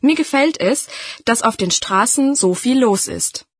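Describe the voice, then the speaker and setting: A young woman reading a scripted part as a student, friendly and bright. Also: fairly high pitch, lively intonation, measured pace, breathy edge, dry recording. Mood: cheerful. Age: young adult. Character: young Dutch female student, 19. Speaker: student speaking in a listening exercise. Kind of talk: scripted reading for a listening test.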